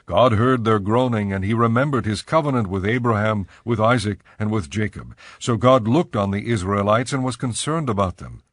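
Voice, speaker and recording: A man narrating a dramatized scripture reading as a measured storyteller. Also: lowish voice, dry recording.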